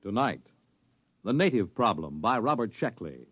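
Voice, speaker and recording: A man announcing a 1950s radio programme in a grandiose, measured, theatrical style. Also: fairly low pitch, big pitch swings, husky, dry recording. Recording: muffled old radio broadcast, music behind.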